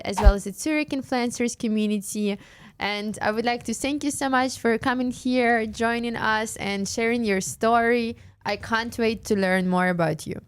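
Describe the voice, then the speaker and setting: A woman's voice: on the high side, background noise. A woman speaking as a podcast host in a casual, conversational interview style.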